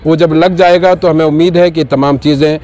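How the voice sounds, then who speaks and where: Slightly high-voiced man making an earnest appeal. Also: fairly even intonation, a little fast, dark tone. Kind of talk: press statement.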